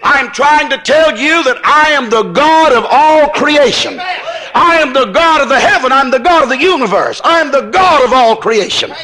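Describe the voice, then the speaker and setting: A man's voice: very high voice, expressive, normal speed, low-quality recording. A man preaching an impassioned sermon in an emphatic, repetitive, folksy way, acting out dialogue as he goes. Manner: emphatic, repetitive, building phrases into shouts; folksy, colloquial phrasing.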